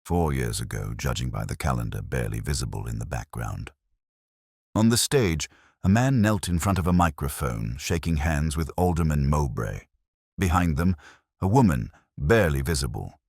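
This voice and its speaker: A man reading a mystery story as a scripted narrator, with a husky voice. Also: a bass voice, lively intonation, normal speed, a little mellow, no room sound, studio quality.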